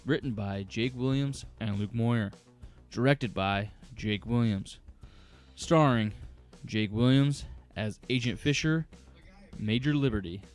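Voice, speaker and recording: Male announcer, medium pitch, unhurried scripted credits read, soft guitar backing. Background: plucked guitar music.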